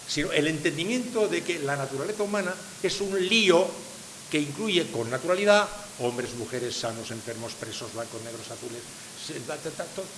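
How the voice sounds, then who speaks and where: Older guy from san sebastián giving a talk, fires rhetorical questions at the crowd, slightly high voice, echoey hall w faint hiss. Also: fairly bright tone. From San Sebastián, Spain. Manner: rhetorical, questioning the audience, emphatic. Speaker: lecturer. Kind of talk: public lecture to a live audience.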